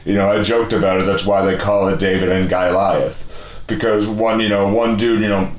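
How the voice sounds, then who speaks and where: A man's voice: fairly even intonation, normal speed, roomy sound. A man in his thirties hosting a casual conversation, laid-back and rambling, with plenty of slang and fillers. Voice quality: low voice. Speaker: podcast host.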